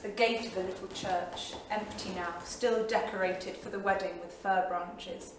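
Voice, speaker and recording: An actress playing a thoughtful, observant young artist wife in a staged reading, measured and literary, with dry wit. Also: female voice, medium pitch, fairly even intonation, close to an everyday voice. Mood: wistful. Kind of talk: staged reading of journal entries, theatrical. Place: small studio theatre, live staged reading. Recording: very echoey theatre, distant mic, faint noise.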